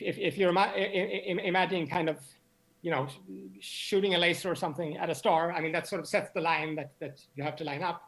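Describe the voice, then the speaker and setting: A man speaking as an expert interview guest, explaining in a halting way and often repeating words as he searches for phrasing. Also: on the high side, gravelly, fairly bright tone. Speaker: interview guest, astronomy professor.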